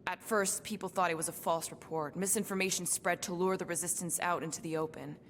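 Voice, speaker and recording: An actress playing a guarded, serious female assassin in a video game, speaking in a measured, dry, deliberate way. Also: lowish voice, fairly even intonation, long reverb tail, slight background noise, natural voice. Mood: grave. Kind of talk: dramatic video game acting.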